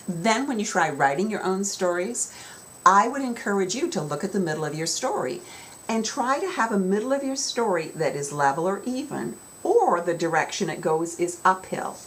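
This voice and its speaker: Lady author explaining story stuff to kids, kinda low voice, storyteller emphasis, background noise. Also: big pitch swings, moderate pace, a bit bright. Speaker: children's author teaching story writing. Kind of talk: instructional talk to young students.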